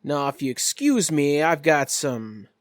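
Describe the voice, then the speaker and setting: A man voicing a folksy, courteous crime boss with quiet authority in a tabletop game. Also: fairly high pitch, big pitch swings, a little slow, no echo, clean studio sound. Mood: businesslike. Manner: drawling folksy phrasing, polite authority.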